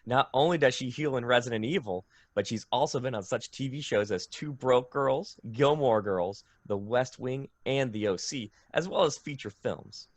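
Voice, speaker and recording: A man's voice: mid-range voice, normal speed, hardly any pauses, no echo. Informal, hesitant male interviewer, close dry sound. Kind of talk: video game interview.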